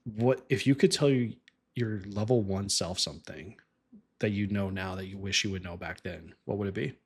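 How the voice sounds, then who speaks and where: A man hosting a podcast, chatting casually with frequent "like" and quick affirmations. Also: fairly low pitch, slightly rough. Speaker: podcast host. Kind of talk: casual interview chat.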